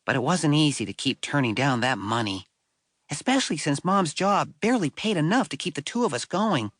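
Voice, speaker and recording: A man playing a wry, self-deprecating sixth-grade boy, telling his story in a conversational, expressive way for an audiobook. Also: fairly high pitch, fairly quick, no room sound, clean studio sound. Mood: worried, reflective. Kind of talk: first-person audiobook storytelling.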